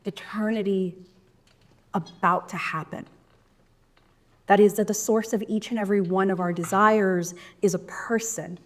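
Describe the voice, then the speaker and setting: A woman painter reading a prepared artist statement in a measured, devotional tone. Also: mid-range voice, steady tone.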